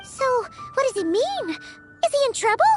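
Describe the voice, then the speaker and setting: A woman voicing a small, childlike, eager fairy companion with a squeaky voice in a video game. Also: big pitch swings, unhurried, full character voice. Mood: curious. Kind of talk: video game character acting. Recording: music behind the voice.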